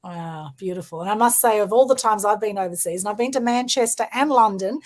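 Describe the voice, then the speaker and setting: A woman's voice: mid-range voice, brisk. A woman hosting an interview over a video call, full of enthusiastic praise. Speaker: show host.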